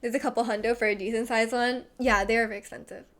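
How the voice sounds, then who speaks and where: A woman's voice: a little high, fairly even intonation, fast talker. Young woman with a slight lisp. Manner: rambling, heavy use of "like". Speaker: streamer. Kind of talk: casual chat, reading chat messages.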